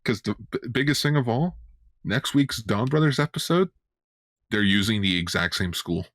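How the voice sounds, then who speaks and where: Male podcast co-host, low voice, dry close sound, informal fan banter with swearing. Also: animated, moderate pace, a bit bright, no room sound. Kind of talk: casual fan chat.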